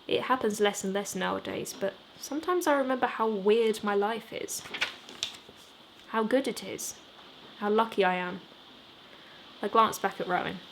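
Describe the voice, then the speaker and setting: A woman reading her own novel aloud in an expressive audiobook narration style, as its author. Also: mid-range voice, moderate pace, gravelly, pauses often, rough recording. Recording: steady background noise. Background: rain ambience.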